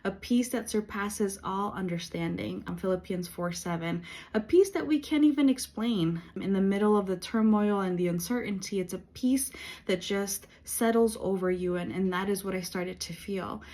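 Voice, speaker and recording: A woman in her late thirties talking in a personal faith vlog, earnest and reflective, with many pauses and fillers. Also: medium pitch, a little mellow. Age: 30s. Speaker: vlogger.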